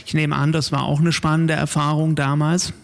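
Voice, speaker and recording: A man hosting a radio interview, asking his questions in a calm, measured way. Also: on the high side, gravelly. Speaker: radio host.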